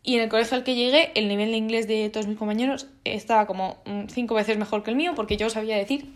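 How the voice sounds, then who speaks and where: A woman's voice: a little high, steady tone, quick pace. A nineteen-year-old woman from Spain with a Spanish accent, talking in a casual vlog with many restarts and fillers and lots of slang. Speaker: vlogger.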